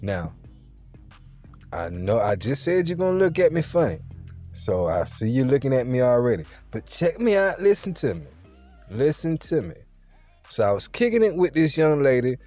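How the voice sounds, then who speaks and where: A young man telling a true story straight to the camera in a casual, slangy, animated way. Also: mid-range voice, moderate pace, soft background music.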